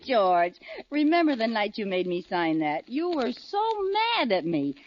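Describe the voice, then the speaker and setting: A woman's voice: mid-range voice, very animated, a little slow, highs cut off. A woman playing a zany, mischievous, talkative American wife in a 1940s radio comedy, on an old recording. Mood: chatty.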